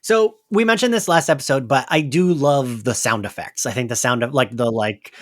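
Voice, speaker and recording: A man's voice: medium pitch, moderate pace, a bit bright. A man hosting a podcast in casual, self-deprecating chat, given to giggling. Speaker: podcast host.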